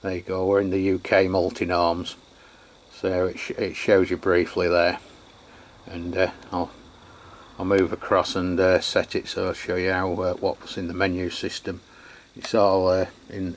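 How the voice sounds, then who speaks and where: An Englishman giving an unscripted product review and demonstration, chatty and matter-of-fact, with a halting delivery. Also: low-pitched, a little hiss or hum. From England. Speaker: radio reviewer.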